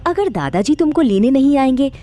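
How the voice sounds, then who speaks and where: High, animated doting mother, acted by a woman. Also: a little fast. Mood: reassuring. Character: doting, reassuring young mother of a boy.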